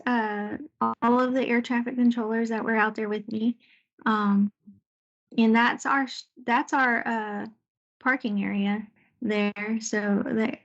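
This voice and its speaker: Navy woman telling deployment stories, conversational n halting, muffled lo-fi call sound. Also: on the high side. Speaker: Navy air traffic controller giving a presentation. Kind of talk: informal slide presentation recounting deployment stories.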